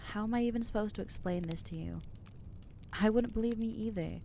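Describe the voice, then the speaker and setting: A woman's voice: mid-range voice, vocal fry, mellow, slight background noise, close to an everyday voice. A woman playing an anxious guilt-ridden vampire. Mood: anguished. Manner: halting, stammering, self-reproachful. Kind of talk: ASMR roleplay.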